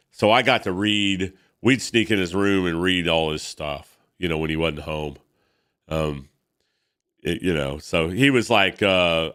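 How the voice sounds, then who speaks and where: A man's voice: low-pitched, a bit bright, clear, resonant tone. A stuffed-up man in his fifties hosting a casual comic book reading, rambling with many fillers. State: congested. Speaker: host.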